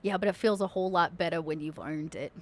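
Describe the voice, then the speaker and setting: A woman's voice: on the low side, quick pace, voice pushed down, lightly acted. A woman playing a proud, weary self-made businesswoman in a tabletop game, with a dry, put-upon manner. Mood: proud, reflective.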